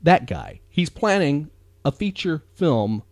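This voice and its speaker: An enthusiastic man hosts a casual pop culture podcast. His delivery rambles and swings freely up and down in pitch. The sound is dry and intimate, with little room around it. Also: sing-song, slow pace, darkish tone, no echo. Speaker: podcast host.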